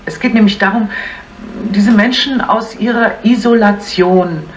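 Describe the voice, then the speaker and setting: An actress playing an earnest woman doctor being interviewed in a radio drama, halting and measured. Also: medium pitch, natural voice. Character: earnest, careful woman doctor leading a charity. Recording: distant, faintly noisy old broadcast.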